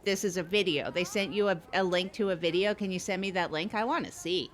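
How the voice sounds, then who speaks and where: A woman's voice: fairly low pitch, a little fast, lightly acted. A woman playing a chatty, eager but unsure trial co-host on an improvised comedy podcast, rambling and full of questions. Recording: noticeable background noise, lobby ambience.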